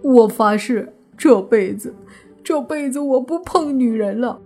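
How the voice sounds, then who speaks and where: A woman's voice: a little high, measured pace, darkish tone, faint music behind, voice pushed up. A woman narrator voicing a worn-out, self-pitying young man who sobs as he speaks in an audiobook story. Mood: tearful, pleading. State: acted sobbing.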